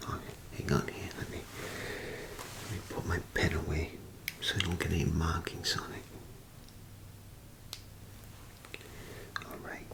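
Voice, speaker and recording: A man playing an unhurried pawn shop owner in an ASMR role play, muttering haltingly and methodically to himself in a soft, breathy whisper. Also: level tone, low-quality recording. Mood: curious. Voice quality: soft, breathy whisper with low creaky murmur. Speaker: ASMR performer.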